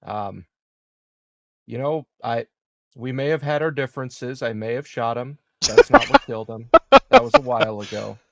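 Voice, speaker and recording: A man playing a tabletop game who stammers, swears casually and cracks deadpan jokes. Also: mid-range voice, moderate pace, creaky. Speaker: player. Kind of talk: casual in-character chat over voice chat.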